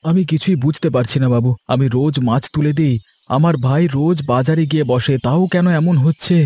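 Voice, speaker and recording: A man's voice: on the high side, brisk, dark tone, no room sound, natural voice. A man voicing a humble, trusting village fish seller in a fairy-tale cartoon, speaking respectfully and earnestly. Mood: worried.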